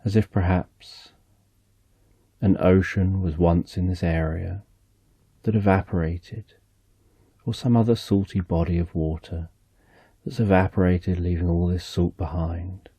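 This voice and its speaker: A man narrating a slow, soothing guided relaxation story in the second person, speaking softly and gently. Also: sits low, fairly even intonation, husky, no echo.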